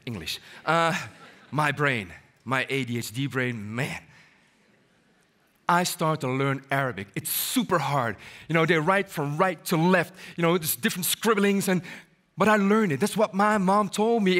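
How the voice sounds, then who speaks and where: A man giving a motivational keynote on stage before a live audience. He is animated, jokes with the crowd and switches into French and Arabic mid-talk. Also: fairly high pitch, normal speed. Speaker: keynote speaker.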